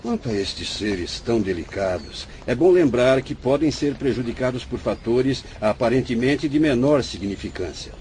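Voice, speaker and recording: An actor as a patient Brazilian spirit doctor, animated intonation. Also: male voice, medium pitch, slight background noise, close to an everyday voice. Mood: solemn, instructive. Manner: didactic, measured, solemn explaining. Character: venerable, patient old spirit doctor, director of an asylum. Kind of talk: Brazilian religious radio drama acting.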